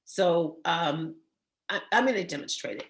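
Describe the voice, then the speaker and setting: A woman coaches new singers over a computer microphone, her voice a little hoarse and froggy. She keeps checking that her students follow along, tossing in "okay?" and "right?" as she goes. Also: on the low side, expressive, measured pace. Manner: encouraging, checks in with frequent "okay?". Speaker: voice teacher. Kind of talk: online singing lesson.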